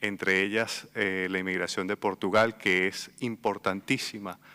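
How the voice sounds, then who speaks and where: A man from Venezuela with a Venezuelan Spanish accent, speaking thoughtfully on a literary festival panel, pausing and repeating words as he thinks. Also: sits low, flat delivery, pushed and bright, clean tone. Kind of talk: reflective panel talk. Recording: long hall reverb.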